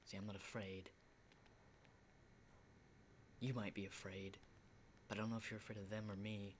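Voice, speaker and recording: A man playing an unsettling, lonely, hardened apocalypse survivor in an ASMR roleplay, speaking in a halting, breathy whisper and soft voice. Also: lowish voice, level tone, a little hiss or hum, natural voice. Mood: fearless, reassuring. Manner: hushed, halting, repeats words, mild swearing.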